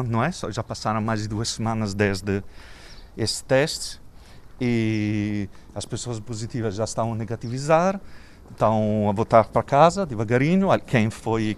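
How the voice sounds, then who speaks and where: A man from Italy with an Italian accent, an educator interviewed outdoors in his neighbourhood, speaking haltingly with frequent restarts. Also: fairly low pitch. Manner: halting, restarts often, thinking aloud. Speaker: community educator. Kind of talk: street interview.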